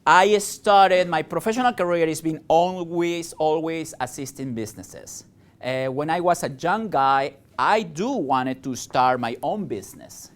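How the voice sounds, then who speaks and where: A man in his forties from Peru with a Peruvian Spanish accent, a native Spanish speaker giving a conversational lecture to college students as a chamber of commerce director, with many fillers and restarts. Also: mid-range voice.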